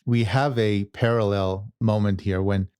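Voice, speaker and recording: Low-voiced earnest male podcast host. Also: steady tone, unhurried, a little mellow, smooth, no room sound, clean studio sound. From born in Israel, raised in New York. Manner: earnest, leading questions, says "right?" often. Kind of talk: interview hosting.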